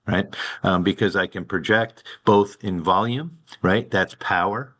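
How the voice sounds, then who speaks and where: Very deep-voiced man, halting talk. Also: fairly bright tone. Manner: halting, full of fillers and restarts. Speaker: wireless network representative. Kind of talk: presentation to a city commission. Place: public meeting room.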